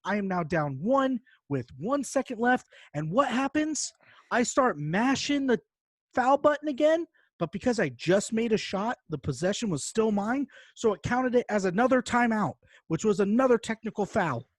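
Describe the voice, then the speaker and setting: A man co-hosting a podcast, telling gaming stories in an animated, casual, sweary way. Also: high voice, normal speed, dry recording. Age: adult, parent of a young child. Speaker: podcast co-host. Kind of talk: casual chat, long gaming anecdote.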